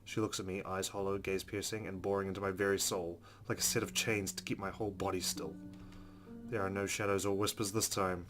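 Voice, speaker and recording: A man's voice: sits low, little pitch movement, fairly quick, music in the background. A man hosting a let's play, reading story text dramatically and adding casual commentary.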